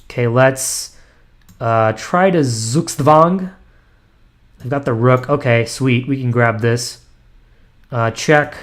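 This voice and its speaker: Man muttering his moves during blitz chess. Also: medium pitch. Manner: self-deprecating, muttering analysis with frequent ums and restarts. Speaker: chess streamer. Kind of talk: thinking aloud while playing blitz chess online.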